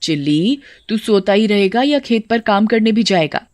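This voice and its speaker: A woman's voice: medium pitch, animated, moderate pace, a little mellow, close to an everyday voice. Woman playing a nagging, no-nonsense village mother. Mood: questioning. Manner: nagging, exasperated. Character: scolding, no-nonsense village mother of a grown son. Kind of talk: cartoon story acting.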